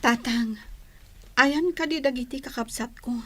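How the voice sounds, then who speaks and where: Young mother, weary and troubled, higher-pitched voice, emotive sighs, radio drama. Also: measured pace, sharp tone, heavily acted. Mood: gentle. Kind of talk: Philippine radio drama acting.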